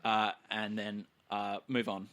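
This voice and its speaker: A man's voice: on the low side, little pitch movement, slow, a bit bright. A man playing in a tabletop roleplaying podcast, chatting casually and describing his moves. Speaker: player in an actual play podcast. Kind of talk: casual tabletop game chat.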